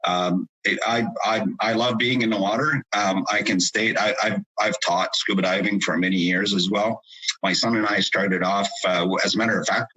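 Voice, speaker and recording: A very low, husky man's voice with a forceful edge. He is in his fifties and comes from Egypt. He speaks as an interview guest, hesitating often and restarting his sentences. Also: low-quality recording. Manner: hesitant, many fillers and restarts. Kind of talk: casual podcast interview.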